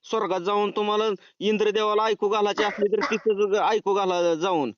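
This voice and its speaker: A man's voice: high voice, fairly even intonation, moderate pace, slight background noise. A man with a rural Marathwada Marathi accent, arguing indignantly over the phone. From Tuljapur area, Maharashtra, India. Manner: indignant, argumentative. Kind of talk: heated phone argument. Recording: dull lo-fi phone call recording.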